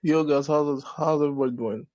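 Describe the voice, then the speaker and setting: A man calling in to ask a question, talking casually and excitedly with slang. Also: on the high side, fairly quick, dry recording, highs cut off. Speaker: caller asking a question.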